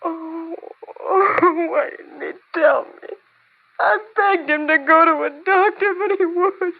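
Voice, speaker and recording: A woman's voice: extremely high pitch, slow pace, heavily acted. An American woman acting a poor, abandoned mother in an old radio drama, weary and bitter, with an emotional, melodramatic delivery. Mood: despairing. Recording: tinny, narrow-band old radio recording.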